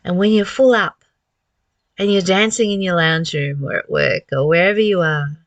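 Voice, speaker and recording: Woman, lowish voice, dry close sound, reflective spiritual teaching. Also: dry recording. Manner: reflective, unhurried phrasing with rhetorical questions. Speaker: spiritual teacher reading and commenting on a course. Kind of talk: spiritual talk, part reading, part reflection.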